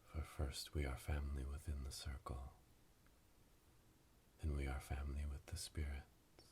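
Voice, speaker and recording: A man playing a solemn witch leading an initiation in a hushed, breathy ASMR whisper, ceremonial and monotone, close to the mic. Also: very deep voice, measured pace, noisy background. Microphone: close binaural microphone.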